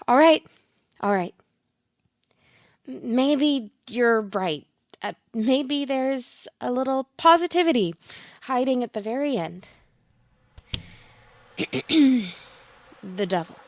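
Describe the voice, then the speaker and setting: An actress plays a theatrical female fortune teller in an audio drama. Her voice sits high and she takes her time, coaxing and blunt by turns, in a dry, close sound. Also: animated, takes it slowly, long pauses, dry recording. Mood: reluctant. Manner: theatrical, coaxing, with pet names. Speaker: actor. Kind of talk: audio play acting.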